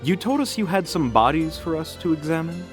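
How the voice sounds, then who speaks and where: A man's voice: fairly high pitch, expressive, fairly quick, lightly acted. A man playing a smug, sardonic, theatrical mage in a fantasy audio drama. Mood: thoughtful. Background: faint music.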